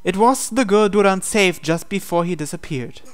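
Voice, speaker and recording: Male narrator for a visual novel read-aloud, slightly high register, smooth clean tone, dramatic scripted delivery. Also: moderate pace.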